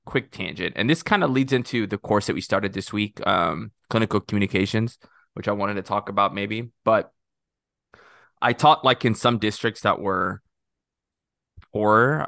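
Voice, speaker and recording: A man's voice: on the low side, vocal fry, fairly bright tone, no echo, clean studio sound. A young man from Texas co-hosting a podcast, chatting casually in rambling, self-interrupting talk with jokes. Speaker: podcast co-host.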